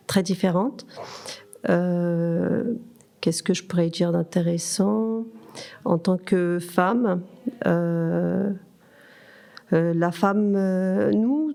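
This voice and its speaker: A woman in her fifties shares a reflective personal account. Her voice is fairly low and mellow, with a creaky edge. She hesitates often and puts questions to herself before answering them. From Turkey. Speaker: podcast guest.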